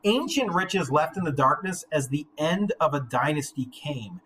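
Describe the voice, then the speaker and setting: Bright-voiced man teaching literature informally. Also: on the high side, expressive, normal speed, slight background noise. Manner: informal, wry asides. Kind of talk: read-aloud with informal commentary.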